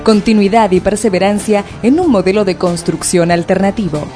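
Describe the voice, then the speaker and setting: Locutora argentina formal con música. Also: female voice, medium pitch, normal speed. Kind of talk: scripted radio ad reading. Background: music bed.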